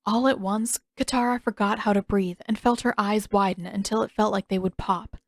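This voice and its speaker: A woman narrates a piece of written fiction as a storyteller. Her voice is medium in pitch, and the recording is dry with no room sound. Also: fairly even intonation, moderate pace, no room sound. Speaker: podfic narrator and author.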